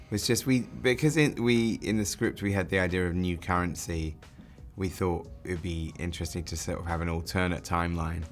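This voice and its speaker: A man's voice: sits low, fairly even intonation, brisk, soft background music. A man, a film director, answering interview questions in a thoughtful way, often restarting and reframing his sentences.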